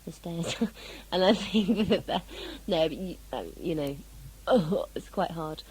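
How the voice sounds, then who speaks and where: A woman's voice: on the low side, slow pace, darkish tone, a little hiss or hum, poor audio. A woman, an actress being interviewed casually about her work, with a jokey, self-mocking manner. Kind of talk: casual behind-the-scenes interview.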